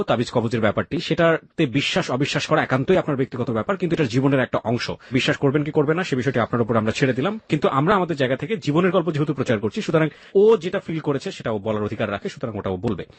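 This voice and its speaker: A man with a Bangladeshi Bengali accent and a husky voice hosting a radio interview, speaking formally and mixing in English words. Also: medium pitch, no echo. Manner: probing, addresses listeners formally, mixes in English words. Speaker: radio show host. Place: radio studio.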